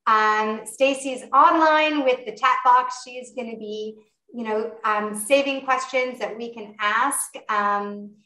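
A woman's voice: a little high, takes it slowly, sharp tone. A Canadian woman chef hosting a live online cooking class, enthusiastic and welcoming, chatty with many fillers. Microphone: video call. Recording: echoey room over a video call.

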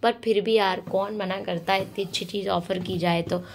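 A young woman, hoarse from a cold, chatting to viewers in a casual vlog voice-over. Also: mid-range voice, fairly even intonation, moderate pace, a little hiss or hum. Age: young adult. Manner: chatty, addressing viewers directly. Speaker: vlogger and dance choreographer.

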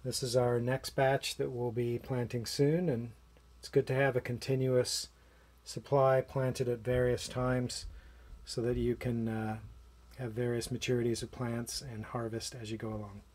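A man in his forties explaining a homemade project in a small basement utility room, in a relaxed, plain way with occasional fillers. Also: mid-range voice, a little slow. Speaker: vlogger. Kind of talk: casual show-and-tell walkthrough.

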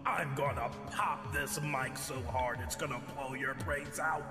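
A man voicing a blustering, ill-tempered older villainous mad scientist in an improvised comedy dub of a video game, loud and theatrical. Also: mid-range voice, steady tone, a little slow, close to an everyday voice. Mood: blustering threats. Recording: roomy echo, music underneath.

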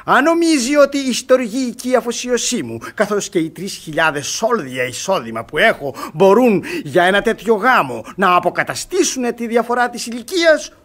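A man playing a tolerant, reasonable elderly gentleman in a radio theatre comedy, earnest and declamatory. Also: high-pitched, sing-song, few pauses, exaggerated voice.

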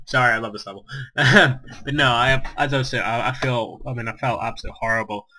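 Rambling young gamer, bright tone. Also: male voice, medium pitch, fairly even intonation, brisk, slight background noise. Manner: rambling, self-deprecating, many fillers and restarts. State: recovering from a virus, lost his voice. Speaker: gaming YouTuber. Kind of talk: casual gameplay commentary.

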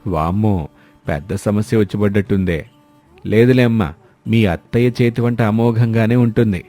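Man playing a teasing, mellow older village father-in-law. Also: fairly low pitch, moderate pace, soft background music, lightly acted. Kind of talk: animated moral story voice acting.

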